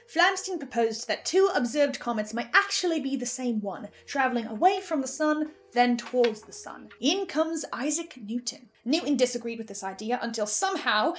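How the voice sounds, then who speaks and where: A woman giving enthusiastic theorising commentary as a listener, excitable and often interrupting herself. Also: a little high. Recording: music behind the voice. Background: music.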